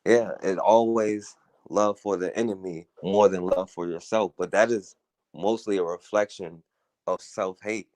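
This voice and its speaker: A man's voice: deep voice, fairly even intonation, measured pace, a little mellow, no room sound. A man hosting a talk radio show, talking through a casual, earnest monologue, often saying "you know" and "right?".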